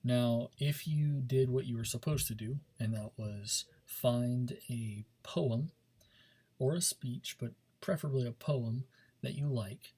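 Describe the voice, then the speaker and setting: A man's voice: mid-range voice, slow pace, warm tone, breathy edge. A man teaching a speech class in an informal recorded lesson, talking directly to his students and stressing and repeating key points. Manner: emphatic, demonstrative, stresses and repeats key words.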